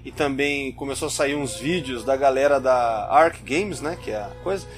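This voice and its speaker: A man's voice: mid-range voice, moderate pace. A man with a Brazilian accent in casual podcast chat, informal and inquisitive, jumping in to clarify. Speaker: podcast co-host. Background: background music.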